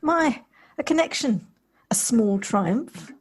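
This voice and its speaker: A woman's voice: on the high side, animated, slow pace. A woman acting in an audio play as an anxious, polite newcomer to the countryside, speaking in hesitant, formal, wordy sentences. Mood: flustered, musing. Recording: home recording on personal device, noisy.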